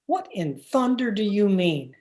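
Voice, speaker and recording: Stuffy gentleman husband for radio play, played by a woman: haughty, disapproving, very animated mid-range voice. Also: mid-range voice, a little slow. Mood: haughty, dismissive. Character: haughty, disapproving gentleman, married adult man. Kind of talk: old-time radio drama acting.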